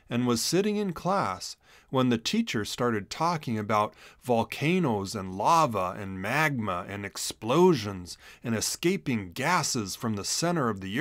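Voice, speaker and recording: A man narrating a children's storybook in an expressive read-aloud manner. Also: mid-range voice, dry recording, studio quality.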